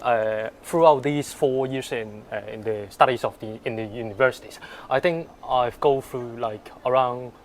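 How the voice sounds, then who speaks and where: A man's voice: medium pitch, normal speed, few pauses, a little hiss or hum. A man in his twenties from Hong Kong with a Hong Kong accent, Cantonese native, answering interview questions hesitantly with many fillers and restarts. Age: 20s. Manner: laughs easily. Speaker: guest. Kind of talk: casual interview.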